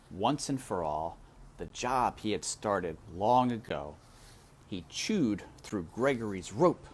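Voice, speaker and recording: A man reading a children's story aloud in a dramatic, deliberate manner, addressing the listener directly. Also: lowish voice, a little hiss or hum. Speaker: read-aloud narrator. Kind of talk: expressive storybook read-aloud.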